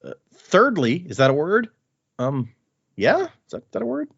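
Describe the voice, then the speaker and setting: A man hosting a podcast, reading a listener's email aloud and adding his own quick asides between lines. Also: sits high, gravelly, breathy edge, dry recording. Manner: reads with lively asides and comments between lines. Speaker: podcast host. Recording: dry, slightly dull lo-fi sound.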